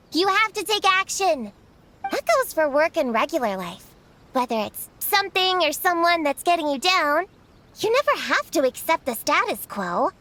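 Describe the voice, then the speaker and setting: A woman's voice: high-pitched, fairly bright tone, a little hiss or hum, full character voice. A woman voicing a small, earnest, precocious child who likes to lecture, in a video game cutscene. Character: small, earnest, know-it-all child friend. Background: background music.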